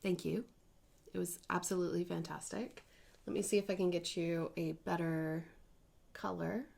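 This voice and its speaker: A woman's voice: lowish voice, steady tone, normal speed, creaky, a little mellow. A woman in her thirties talking casually at home about her cross-stitch projects, in a chatty, rambling way with small self-corrections. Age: 30s. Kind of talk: casual chatty crafting vlog.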